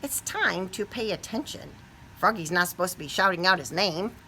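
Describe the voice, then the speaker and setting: A woman voicing a gentle but firm female primary school teacher who speaks politely to children in a storybook. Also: fairly low pitch, expressive, a little hiss or hum, rough recording. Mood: gently firm. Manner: instructing children, polite and measured. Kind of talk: children's picture book read-aloud.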